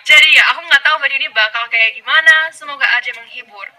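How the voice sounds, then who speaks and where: A woman from Canada talking casually to her vlog audience in a lively, friendly way, laughing easily. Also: high-pitched, quick pace. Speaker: vlogger.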